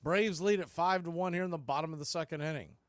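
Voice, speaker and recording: A man calling baseball play-by-play as a radio announcer, in clipped, folksy phrases that range from quiet to excited. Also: fairly high pitch, quick pace, dry recording. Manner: swings from hushed calls to excited shouts. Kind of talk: live radio play-by-play commentary.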